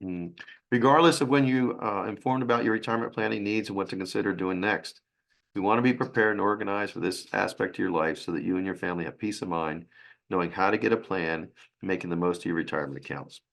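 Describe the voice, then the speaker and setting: A man with a raspy, hoarse voice from a sinus infection, presenting a financial webinar in a halting way. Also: low voice, fairly bright tone. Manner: halting, with restarts and fillers.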